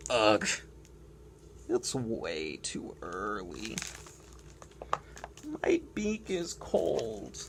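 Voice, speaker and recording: A woman's voice: extremely low pitch, wildly swooping pitch, extremely slow, vocal fry, long pauses, background noise, full character voice. A woman voicing a grumpy, whiny young penguin in a picture book read-aloud. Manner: complaining, whiny.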